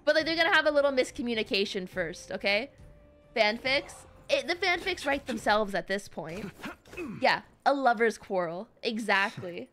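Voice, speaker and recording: A woman game streamer giving casual, rambling live commentary in an excitable, giggly way, on a close mic. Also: fairly high pitch, moderate pace, faint music behind.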